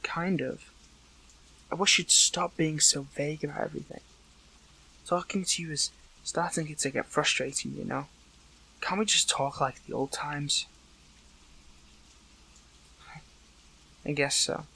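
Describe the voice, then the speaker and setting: A man playing a weakened captive young superhero in an intimate audio roleplay, hurt but earnest, speaking haltingly with emotion. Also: a little high, vocal fry, sharp tone, breathy edge, full character voice, low-quality recording. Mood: weary, frustrated.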